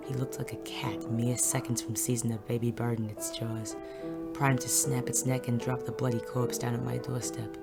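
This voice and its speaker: A very deep-pitched noir detective played by a woman, gruff and sardonic. He delivers his lines and case narration deadpan, in audio drama style, with music clearly behind him. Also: moderate pace. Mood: brooding narration.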